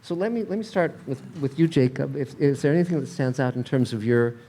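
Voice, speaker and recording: A man's voice: mid-range voice, animated, dark tone. Older male showrunner, casual panel host, stop-start delivery, handheld mic. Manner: halting, many restarts and fillers. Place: convention panel room.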